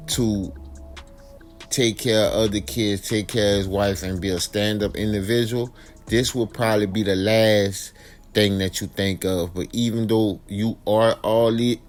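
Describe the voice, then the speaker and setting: American guy doing true crime commentary, lowish voice, slangy and direct, repeats stuff for emphasis, music in the back. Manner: colloquial, direct address to viewers, emphatic repetition. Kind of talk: informal crime storytelling with personal opinion. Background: background music.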